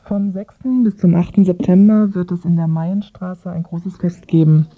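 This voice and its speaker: A woman's voice: on the low side, steady tone, moderate pace. A woman reporter narrating a scripted radio magazine piece. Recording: thin, phone-like lo-fi radio sound.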